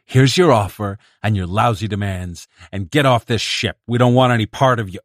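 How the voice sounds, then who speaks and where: A man's voice: mid-range voice, big pitch swings, measured pace, no room sound, clean studio sound, voice pushed up. A man voicing Bedzik, a furious hulking mutant. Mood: angry. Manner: loud, harsh and contemptuous. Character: hulking broad-chested male mutant, defiant and furious.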